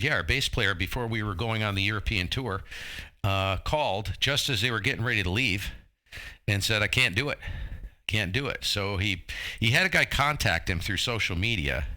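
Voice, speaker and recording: A man in his fifties chatting casually over amateur radio, telling stories in an animated, informal way. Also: on the low side. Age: 50s.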